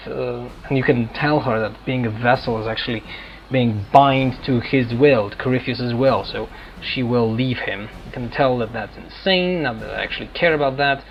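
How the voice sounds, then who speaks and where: A man giving casual game commentary, thinking aloud in a halting, hesitant way. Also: medium pitch, dull audio, slight background noise. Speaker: gameplay streamer. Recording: game music behind. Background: video game music.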